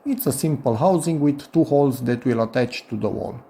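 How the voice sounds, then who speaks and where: A man's voice: mid-range voice, a little fast, mellow. A man talking informally and a little hesitantly through a hands-on DIY demonstration, thinking aloud as he goes. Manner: informal, hesitant, self-narrating. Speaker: host of a DIY electronics channel.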